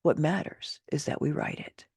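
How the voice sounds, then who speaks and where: Expressive woman reading her own memoir, dry sound. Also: normal speed, no room sound. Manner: candid, wry, conversational asides. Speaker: author and narrator.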